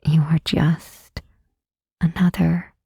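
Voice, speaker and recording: Woman playing a hushed, husky, gentle demon queen. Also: low voice, flat delivery, dry recording, studio quality, full character voice. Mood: tender reassurance. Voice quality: soft, breathy, husky. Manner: slow, tender, soothing, with deliberate pauses. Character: gentle, reassuring demon queen comforting a weary hero. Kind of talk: intimate ASMR roleplay. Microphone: close mic, dry.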